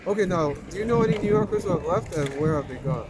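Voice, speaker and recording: A man's voice: high voice, expressive, fast, a loud crowd. A man doing a casual interview in a city park, asking questions in an agreeable, conversational way and following up on answers. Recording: outdoor recording with clear traffic noise.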